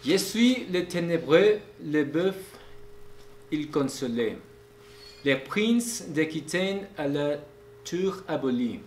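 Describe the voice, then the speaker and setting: Slow-reading man in an echoey room. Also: fairly high pitch, animated, a little hiss or hum. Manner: slow, halting reading of a French poem. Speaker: audience member reading aloud. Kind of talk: sight-reading a poem aloud.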